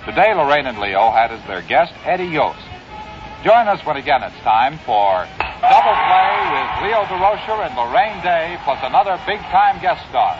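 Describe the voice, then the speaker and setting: A man's voice: fairly high pitch, very animated, moderate pace, lo-fi sound. A man reading a scripted 1950s radio sign-off with a polished announcer's delivery. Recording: band-limited 1950s radio with loud music. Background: music.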